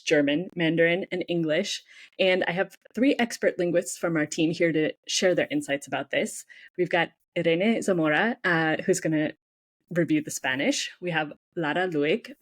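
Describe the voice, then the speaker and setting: A woman hosting a podcast in a casual, upbeat way, often saying "like" and nodding along with "mm-hmm.". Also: lowish voice. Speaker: podcast host.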